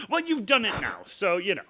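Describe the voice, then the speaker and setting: A man's voice: high voice, huge pitch swings, normal speed, over a noisy crowd. A young man hosting a casual live stream, chatty and self-mocking, tripping over names and laughing often. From Wales.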